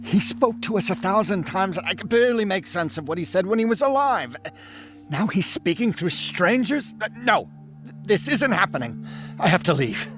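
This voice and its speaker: An actor playing Peter, an impulsive, anxious disciple who speaks with agitated emphasis. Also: male voice, high voice, big pitch swings, moderate pace. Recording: music score behind.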